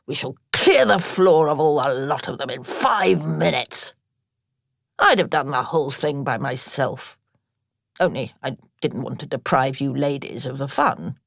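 A woman voicing a gruff, bossy older female badger in a storybook reading, brusque and commanding. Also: deep voice, dry recording, clean studio sound, heavily acted. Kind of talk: audiobook character reading.

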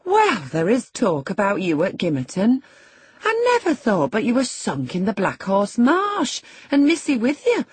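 A woman narrator plays a gossiping country housekeeper from a classic novel, brisk and familiar. Her voice is pitched up and very husky, tumbling along in exclamatory, rustic phrases. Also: wildly swooping pitch, dry recording, full character voice. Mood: surprised, gossiping. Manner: rustic dialect turns, exclamatory, rambling.

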